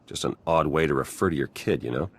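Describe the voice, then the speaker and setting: A roguish, wry male spy for a sci-fi game. He has a deep voice and talks casually, with dry sardonic humour. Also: quick pace, creaky, full character voice. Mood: wry, relaxed. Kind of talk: conversational video game acting.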